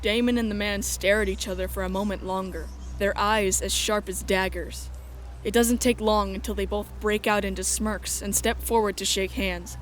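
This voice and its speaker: A woman reading scripted first-person story narration as the narrator of a drama. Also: mid-range voice.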